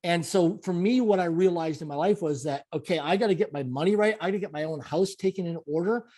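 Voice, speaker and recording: Upbeat high-voiced man talking mindset. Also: fast talker, warm tone. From Canada. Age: 40s. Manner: emphatic, repetitive motivational phrasing. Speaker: guest. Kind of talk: conversational interview.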